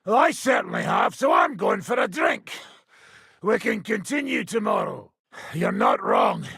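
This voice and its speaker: Raspy, brash medieval brawler. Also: male voice, high voice, huge pitch swings, a little slow, bright, forceful tone, dry recording, raised voice, clean studio sound, exaggerated voice. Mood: boisterous, teasing. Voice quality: raspy, gravelly. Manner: gruff, taunting, boisterous. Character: brash hard-drinking male medieval fighter and combat trainer. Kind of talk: video game voice lines.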